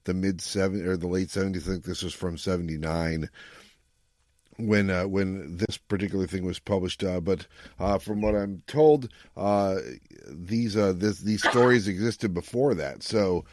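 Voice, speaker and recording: A man's voice: low-pitched, moderate pace, darkish tone, no echo. A man hosting a podcast in casual chat, explaining things in a rambling, self-correcting way. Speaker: podcast host.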